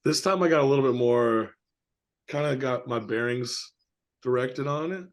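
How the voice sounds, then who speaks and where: A man co-hosting a casual film discussion, speaking haltingly as he searches for his words. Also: mid-range voice. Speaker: podcast co-host.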